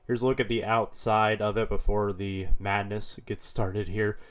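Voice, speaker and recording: A man with a head cold, slightly hoarse, narrating a vlog in a relaxed, matter-of-fact way. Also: on the low side, normal speed. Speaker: card dealer vlogger.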